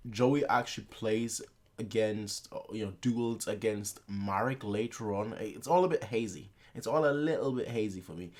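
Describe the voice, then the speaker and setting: Young guy rambling on his reaction channel, tons of likes and you-knows, mid-pitched voice. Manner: rambling, heavy use of filler words and restarts. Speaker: reaction video host. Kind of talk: casual rambling chat.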